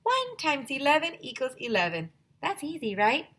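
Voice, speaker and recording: A woman's voice: high voice, huge pitch swings, moderate pace, fairly bright tone, voice pushed up, full character voice. A woman voicing a cheery sock puppet who teaches math, in a children's storybook reading. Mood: chipper.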